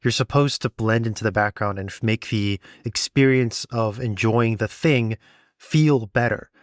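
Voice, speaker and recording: A man's voice: lowish voice, a little slow, no echo, studio quality. A man co-hosting a podcast about sound design, talking in a conversational, matter-of-fact way. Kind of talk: behind-the-scenes podcast discussion.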